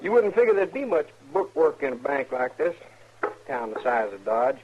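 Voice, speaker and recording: An actor playing a mild, plain-spoken young frontier bank clerk with an American accent, in a 1950s radio drama. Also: male voice, a little high, sing-song, normal speed, noisy background. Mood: amiable, casual. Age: young adult. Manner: plain-spoken, amiable, hesitant. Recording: dull old radio recording.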